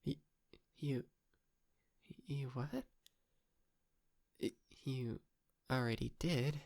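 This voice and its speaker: A man playing a shy, polite, lovestruck yandere kidnapper in an intimate ASMR roleplay, stammering, hesitant and softly doting. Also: medium pitch, very slow, creaky, no echo, studio quality. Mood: flustered, overjoyed.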